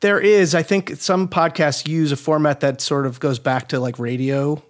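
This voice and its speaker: A man speaking as a guest scriptwriter, explaining casually in an informal, matter-of-fact way with gentle teasing. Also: fairly high pitch, fairly even intonation, normal speed.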